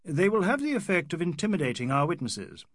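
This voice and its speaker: A man's voice: on the high side, big pitch swings, fairly quick, no echo, studio quality, pitched above the performer's own voice. A man voicing an eminent, formal barrister in an audiobook, courteous and firm, with an Oxford English accent. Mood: firm, formal objection. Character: eminent Indian barrister, large, formal, coolly objecting.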